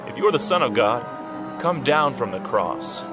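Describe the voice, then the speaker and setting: A man's voice: medium pitch, sing-song, moderate pace, over music, natural voice. A man acting a jeering passer-by who taunts the condemned in an audio Bible dramatization.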